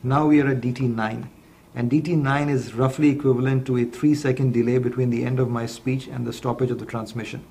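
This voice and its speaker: A man giving a step-by-step instructional product demonstration in a measured, methodical way. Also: medium pitch, flat delivery, gravelly, mellow, a little hiss or hum.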